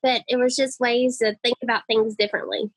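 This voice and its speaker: A woman speaks in a high, girly voice, telling her story with chatty enthusiasm. She is a guest in a casual podcast interview. The sound is dry and close. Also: fairly even intonation, fairly quick, no room sound, rough recording.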